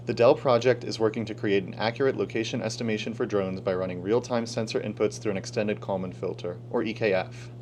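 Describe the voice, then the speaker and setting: A man's voice: fairly low pitch, fairly even intonation, fast, few pauses, a little mellow. A teenage boy from Pennsylvania giving a scripted student research presentation. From Pennsylvania, United States. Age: teens. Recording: clear background hum and noise.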